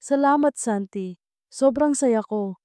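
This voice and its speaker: A woman's voice: a little high, slow pace, warm tone, smooth, no echo, clean studio sound, pitched above the performer's own voice. A woman voicing a sweet, ambitious young female receptionist in an audio love story. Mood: excited, happy.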